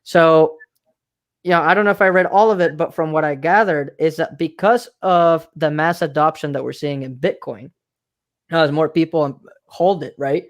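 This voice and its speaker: A man's voice: on the high side, moderate pace, dull audio. A man from Mexico hosting a podcast interview, talking casually and repeating himself for emphasis. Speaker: podcast host.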